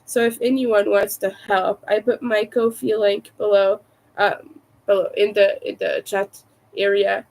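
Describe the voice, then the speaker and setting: Slow, raspy, creaky woman's voice, sounding sick. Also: medium pitch, level tone, rough recording. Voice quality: raspy from vocal cord damage. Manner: hesitant, soft-spoken. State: sick with covid, low energy. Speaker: livestream host, crafter. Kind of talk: casual livestream chat.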